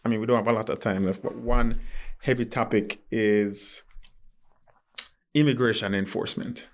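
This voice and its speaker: A man's voice: on the low side, steady tone, normal speed. A man hosting a podcast interview, talking reflectively and earnestly and often giving short acknowledgements while his guest speaks. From Jamaica. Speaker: podcast host. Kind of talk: interview conversation.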